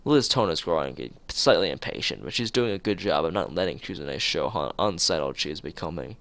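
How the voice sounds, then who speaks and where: A man's voice: low-pitched, expressive, brisk, a bit bright, dry recording. A man streaming a visual novel, reading the text aloud and making casual asides.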